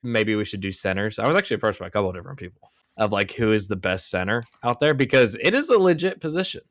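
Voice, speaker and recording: A man's voice: fairly low pitch, lively intonation, quick pace, a bit bright, no echo, clean studio sound. A young man in his twenties hosting a podcast, talking casually and rambling with lots of fillers. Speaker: podcast host. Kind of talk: casual chat.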